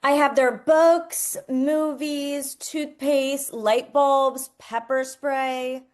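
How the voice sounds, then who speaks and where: A perky, over-earnest tween girl from a comedy, acted by a woman. She speaks in a very cutesy way, pitched high. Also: steady tone, extremely slow. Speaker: actor reading at a script table read.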